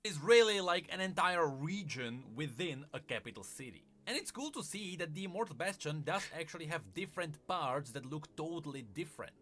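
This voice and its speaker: Male lore commentator, higher-pitched and very lively, emphatic with chatty asides. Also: a little fast, few pauses, faint music behind. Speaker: video game lore commentator. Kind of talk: semi-scripted video commentary.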